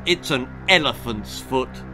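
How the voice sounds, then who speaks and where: A man's voice: medium pitch, lively intonation, measured pace, over music, natural voice. A man voicing a proud, eccentric storybook father with an English accent, blustering and callously cheerful. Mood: proud, breezy. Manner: blustering, stammering eagerness.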